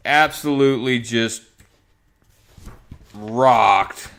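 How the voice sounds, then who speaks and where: A man's voice: medium pitch, drawn-out words, a bit bright, clean tone. A man giving casual review commentary as a comic book reviewer, in a sarcastic, exasperated manner.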